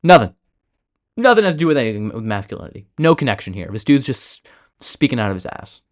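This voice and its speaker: A man talks over a clip he is reacting to, sounding exasperated and blunt, with curse words scattered through. His pitch sits in the ordinary middle range for a man. He uses a casual, off-the-cuff commentary style. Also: very animated, quick pace. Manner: exasperated, blunt, swears often. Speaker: reaction video host.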